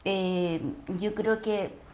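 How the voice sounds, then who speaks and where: A middle-aged Chilean woman, an actress, talking casually and effusively as an interview guest, often pausing to search for words. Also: mid-range voice, fairly even intonation, darkish tone, slight background noise. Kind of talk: casual conversation, reflecting on acting work.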